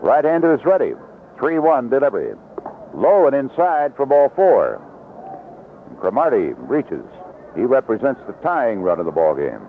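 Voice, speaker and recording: A male baseball announcer delivers live play-by-play in short descriptive phrases, with an animated, widely moving pitch at an ordinary male height. The sound is a narrow, lo-fi 1970s radio broadcast with faint noise behind the voice. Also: moderate pace. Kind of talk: live radio sports commentary. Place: outdoor ballpark broadcast position.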